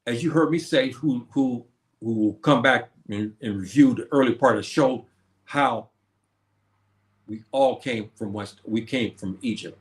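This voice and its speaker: A man's voice: mid-range voice, unhurried, roomy sound. A man hosting a stream, lecturing on history in a preachy way and posing questions that he answers himself. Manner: preachy, asks and answers his own questions. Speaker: host. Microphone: streaming setup.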